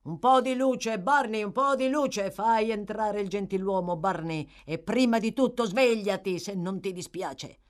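A woman voicing a loud, hoarse, boisterous male burglar in an audiobook, shouting orders with mocking politeness and jovial menace. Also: mid-range voice, big pitch swings, unhurried. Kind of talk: audiobook character voice.

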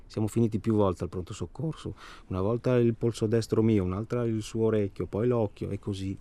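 An actor playing a nervous, chatty middle-aged husband in a naturalistic radio drama, hesitant and rambling, repeating himself and seeking agreement. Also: male voice, lowish voice, steady tone, brisk, warm tone, natural voice. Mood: confiding, wry.

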